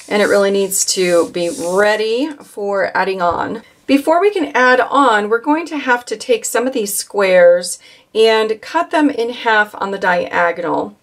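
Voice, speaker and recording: A woman calmly walking viewers through a quilt block step by step, with occasional fillers as she works. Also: fairly high pitch. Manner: calm, instructive, with occasional fillers. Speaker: quilting instructor. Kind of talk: step-by-step craft tutorial.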